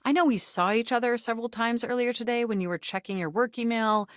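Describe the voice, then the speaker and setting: A woman's voice: a little high, fast, no room sound, studio quality, natural voice. A woman playing a perky, vain, flirtatious home computer come to life in a video game, with a chirpy, gushing, theatrical delivery. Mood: delighted. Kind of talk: video game character voice acting.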